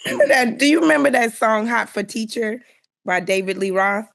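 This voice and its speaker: A woman's voice: on the high side, expressive, fairly quick, creaky. A woman on a podcast panel chatting casually, in a slangy, emphatic way. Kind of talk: casual chat.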